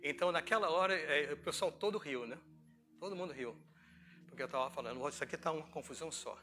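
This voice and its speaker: Brazilian male pastor, conversational sermon storyteller, bright and forceful delivery, faint music underneath. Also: on the high side, fast. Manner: conversational storytelling, acting out dialogue, asking rhetorical questions. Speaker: pastor preaching. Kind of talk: informal sermon with personal anecdotes.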